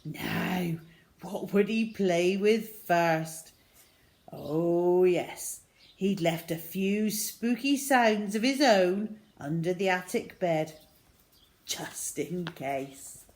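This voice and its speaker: A woman reading a story to children as host, dramatic, with a way of dropping into suspenseful hush. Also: on the low side, drawn-out words. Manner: dramatic, with hushed suspenseful drops.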